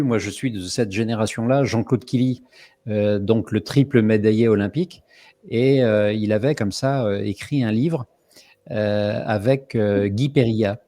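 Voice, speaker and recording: A man's voice: lowish voice, mellow. A middle-aged man hosting a live online summit over a video call, telling stories in a chatty way full of hesitations. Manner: chatty, many fillers, storytelling. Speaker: host.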